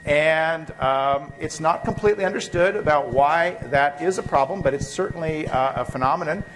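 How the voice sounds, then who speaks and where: A man's voice: on the high side, moderate pace, low-quality recording. A male biochemistry professor giving a university lecture while catching a cold, speaking loosely with many fillers and restarts. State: catching a cold, occasional coughs. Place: lecture hall.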